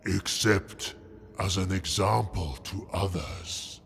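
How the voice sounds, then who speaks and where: Very gravelly, deep dark-elf overlord. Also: male voice, steady tone, slight background noise, full character voice. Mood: cold menace. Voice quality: deep rumbling gravelly growl. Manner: slow, threatening, pompous pronouncements. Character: armored Druchii overlord, cruel, imperious, monstrous dark elf. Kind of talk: fantasy audiobook character voice.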